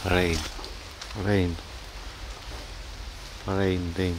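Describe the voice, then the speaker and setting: Slow, low-voiced man in rain. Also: flat delivery. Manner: repetitive short phrases, simple broken English. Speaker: vlogger feeding a stray cat. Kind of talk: casual narration while filming. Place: outdoors in a park on a rainy day. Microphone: camera-mounted mic, first-person filming. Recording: faint rain noise outdoors.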